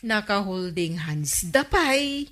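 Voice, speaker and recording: A woman's voice: mid-range voice, very animated, slow pace, fairly bright tone. A woman with an Ilocano accent playing a nosy, teasing village gossip in a comedy radio drama. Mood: gossipy. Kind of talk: broadcast comedy drama acting.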